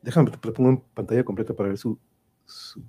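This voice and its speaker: A man's voice: mid-range voice, steady tone, quick pace, dark tone, husky. A man chatting casually as the host of a live show, playful and joking. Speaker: host of a live online radio-play show.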